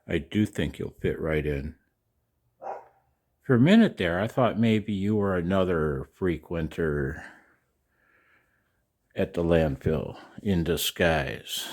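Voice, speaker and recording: An older man talking casually and in a rambling way to his viewers as a video host, folksy and halting, with a gravelly voice. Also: low voice. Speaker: amateur radio operator and video host. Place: outdoors in the cold.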